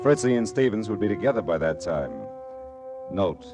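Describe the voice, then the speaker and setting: A low-pitched actor's take on a hard-boiled male private eye with an American accent. He is wry, clipped and sardonic, in the manner of 1940s radio noir, with faint music on the vintage recording. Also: animated, normal speed, natural voice. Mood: brooding, wry. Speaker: lead actor and narrator.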